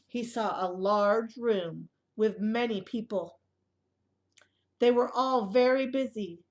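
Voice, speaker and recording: A woman with a fairly high voice reads a storybook aloud for small children. Her delivery is expressive and child-directed, moving between the narration and simple asides to her young listeners. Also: takes it slowly. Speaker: early childhood storyteller reading a picture book aloud.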